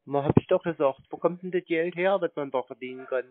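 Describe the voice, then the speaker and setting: A man's voice: fairly high pitch, fairly quick, slight background noise. A man chatting casually on the phone in a laconic, colloquial and naive-sounding way. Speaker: prank call recipient. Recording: dry, narrow phone-band sound.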